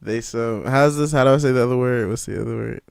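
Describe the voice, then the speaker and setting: A young man hosting a casual interview, joking around, laughing, and loudly protesting in fun. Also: medium pitch, fast talker, creaky, clear, resonant tone, no echo, clean studio sound. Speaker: interviewer, channel host.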